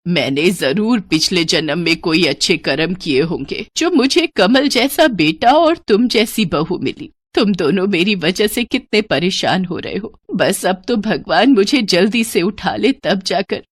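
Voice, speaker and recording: A woman voicing an elderly, ailing mother-in-law in a moral story, grateful and weary, with slow, plaintive speech. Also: mid-range voice, animated, breathy edge, dry recording, studio quality. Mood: tearful, grateful. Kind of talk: dramatised Hindi moral story.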